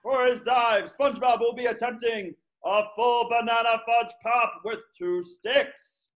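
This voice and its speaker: Guy doing a showy cartoon contest announcer, really high voice, slow dramatic calls. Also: steady tone, a bit bright. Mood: ceremonious. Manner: drawn-out, dramatic. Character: showy, easily amazed sports contest announcer. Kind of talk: cartoon sports commentary.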